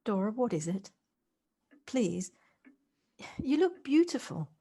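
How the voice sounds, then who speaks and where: Actress as effusive, encouraging woman, higher voice. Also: very animated, takes it slowly, warm tone, slightly rough, exaggerated voice. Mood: gently concerned. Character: effusive, encouraging woman, theatrical and tenderly sympathetic. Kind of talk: amateur audio drama acting. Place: recorded at home on a personal device.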